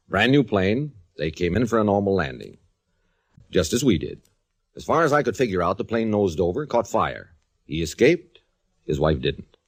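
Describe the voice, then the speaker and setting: A man's voice: low-pitched, full character voice. An actor playing a middle-aged male insurance adjuster and pilot in a 1940s radio drama, easygoing, persuasive and patient. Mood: confiding.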